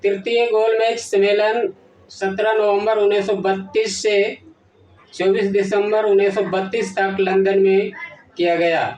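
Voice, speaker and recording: A man presenting a scripted educational lesson, reading formally in short, halting phrases. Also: sits high, level tone, a little mellow. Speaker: presenter of an educational history channel. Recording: echoey room, distant from the mic.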